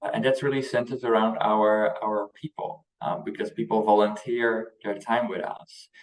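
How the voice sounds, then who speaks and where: A man's voice: lowish voice, fairly even intonation, moderate pace, roomy sound, far from the mic, low-quality recording. A young man with a Dutch accent, a native Dutch speaker, answering questions as an interview guest in a conversational way. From Netherlands. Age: young adult. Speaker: interview guest, youth organization leader.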